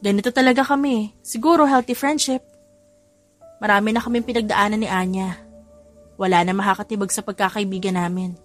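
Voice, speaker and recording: A woman's voice: medium pitch, fairly even intonation, brisk, soft background music, natural voice. A woman with a Filipino accent voicing a playful, affectionate young mother in a romance audiobook. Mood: teasing.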